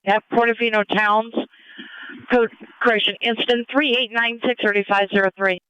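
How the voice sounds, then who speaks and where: A man's voice: high-pitched, steady tone, normal speed, smooth, no echo, muffled recording. A man dispatching on police radio in clipped procedural phrases, heard through a scanner.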